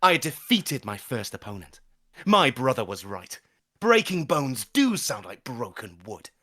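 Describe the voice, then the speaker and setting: A man's voice: mid-range voice, very animated, a little slow, fairly bright tone, no echo, studio quality. A man playing a grandiose, menacing schoolboy with earnest self-importance, in a dramatic first-person audio drama monologue. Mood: grandiose, ominous. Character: grandiose, menacing schoolboy obsessed with conker glory.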